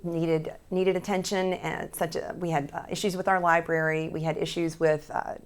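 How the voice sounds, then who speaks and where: Hoarse woman candidate, low voice. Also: flat delivery, normal speed. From South Louisiana. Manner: earnest, many restarts and fillers. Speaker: Senate candidate guest. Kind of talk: interview answers.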